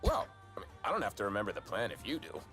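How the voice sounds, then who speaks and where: Carefree, goofy game hero, wildly animated. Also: male voice, fairly low pitch, very fast, soft background music. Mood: playful. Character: carefree, goofy, playful young male lover. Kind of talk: video game voice acting.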